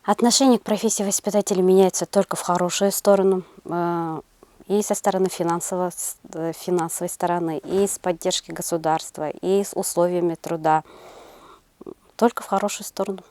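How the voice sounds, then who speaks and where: A middle-aged woman answering interview questions in a measured, halting way, searching for her words as a teacher. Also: lowish voice, fairly even intonation, a little mellow, no room sound. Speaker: interview guest, senior kindergarten teacher.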